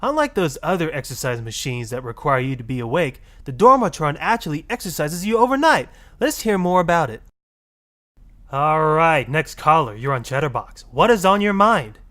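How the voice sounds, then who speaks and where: Man playing a glib, lively radio call-in host. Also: on the high side, moderate pace. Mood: glib, dismissive. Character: glib, dismissive male radio talk show host. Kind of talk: satirical call-in radio presenting.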